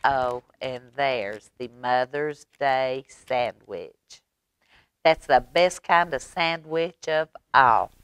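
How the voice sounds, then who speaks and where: Slow, low-voiced Southern woman reading to children. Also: smooth, no echo. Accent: Southern American. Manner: expressive, folksy, unhurried, dramatic whispers and asides. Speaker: library story time host. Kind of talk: children's read-aloud storytelling with asides.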